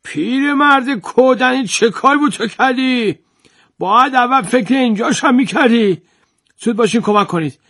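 A man's voice: very high voice, dry recording, clean studio sound, voice pushed up, heavily acted. Man doing a fussy flustered landowner. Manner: agitated, raised excited voice. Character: fussy, pompous landowner man, quick to panic.

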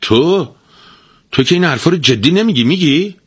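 A man's voice: medium pitch, big pitch swings, bright, forceful tone, breathy edge, no room sound, clean studio sound, pitched above the performer's own voice, heavily acted. A man voicing a lazy, gluttonous, simple-minded husband in a storybook folk tale, whiny and bossy. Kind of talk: storybook reading.

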